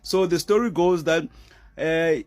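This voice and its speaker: Animated man giving casual commentary. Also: a little high, unhurried. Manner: animated, laughing, with many fillers. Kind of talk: casual commentary on a news story.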